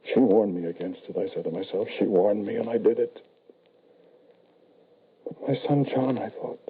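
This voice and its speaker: A man's voice: lowish voice, normal speed, a crowd in the background, close to an everyday voice. An actor playing a frail, grieving older father, hesitant and pleading, in a 1940s radio horror style. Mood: stunned dread. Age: middle-aged or older. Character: frail, grieving father, anxious and desperately loving. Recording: noisy, tinny old-time radio recording.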